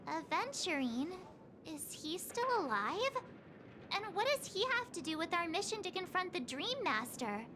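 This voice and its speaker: A woman playing a chatty, candid teenage girl adventurer in a video game, bubbly and expressive. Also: very high-pitched, unhurried, slight background noise, exaggerated voice. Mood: curious, uneasy. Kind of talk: video game dialogue acting. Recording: loud music behind the voice.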